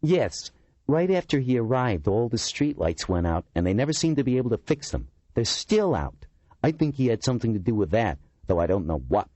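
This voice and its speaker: A man's voice: medium pitch, big pitch swings, brisk, darkish tone, no room sound, pitched above the performer's own voice, rough recording. A man voicing an eccentric, talkative middle-aged man who complains indignantly. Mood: aggrieved.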